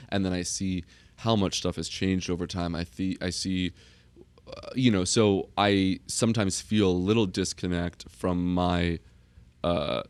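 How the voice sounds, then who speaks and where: A man in casual podcast conversation, earnest and reassuring, often halting as he thinks aloud. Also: deep voice, dry recording.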